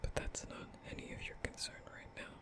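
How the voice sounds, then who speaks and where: A softly whispering young male vampire, acted by a man, nervous and tender. He speaks breathily and hesitantly, soothing and apologetic, in the intimate style of an ASMR comfort roleplay. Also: brisk, lightly acted.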